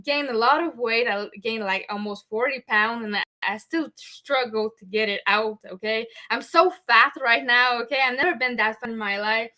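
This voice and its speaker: A woman from Montreal speaks English with a Québécois French accent, her voice bright and fairly high. She talks earnestly and openly, circling back on her points and checking in with listeners. French is her first language, and she hosts the show. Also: moderate pace, few pauses. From Montreal, Canada. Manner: earnest, repeats herself, frequent "okay?" asides. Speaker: podcast host. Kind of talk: candid personal talk.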